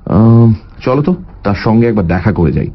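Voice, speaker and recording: Courteous, persistent detective for audio drama: lowish voice, animated intonation, slightly muffled recording. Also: male voice, moderate pace, faint music behind. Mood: calm, inquiring. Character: polite, probing male private detective, calmly persistent. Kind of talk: audio story acting.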